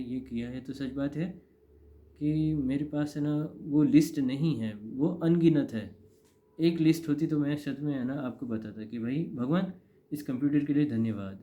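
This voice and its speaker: Indian male vlogger, thirties, very dark relaxed tone, repetitive confessional delivery, muffled low-fidelity recording. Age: 30s. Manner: rambling, repeats phrases for emphasis. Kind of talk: casual confessional monologue to camera. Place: indoor room. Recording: dull, lo-fi.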